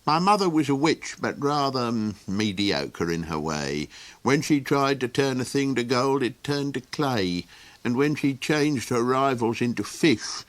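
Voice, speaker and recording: A man's voice: mid-range voice, lively intonation, no room sound, close to an everyday voice. A man doing a rambling, chuckling old creature. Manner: halting, full of ums and chuckles.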